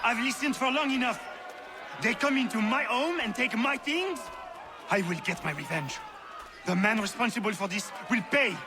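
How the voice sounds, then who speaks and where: A man's voice: very high voice, lively intonation, moderate pace, a crowd in the background. An actor playing a hot-tempered, vengeful French colonial man with a French accent in a video game, ranting and slipping into French. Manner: impassioned, ranting, slips into French. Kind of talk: video game cutscene acting.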